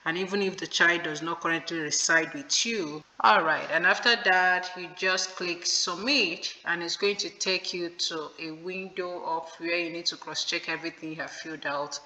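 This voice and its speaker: A woman talks viewers through an online form step by step, patient and instructive. She repeats the points that matter. Her voice is very bright, and a long reverb trails behind it. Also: fairly low pitch, steady tone, moderate pace, hardly any pauses. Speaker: video host explaining a visa form. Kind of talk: screen-recorded tutorial walkthrough. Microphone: voice-over on a screen recording. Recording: echoey room with long reverb.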